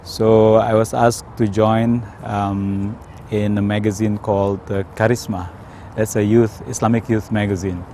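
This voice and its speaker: A man from Indonesia with an Indonesian accent, telling his personal story in a hesitant, careful way with frequent pauses. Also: lowish voice, flat delivery, warm tone, slight background noise. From Indonesia, South Sumatra. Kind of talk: unscripted personal testimony.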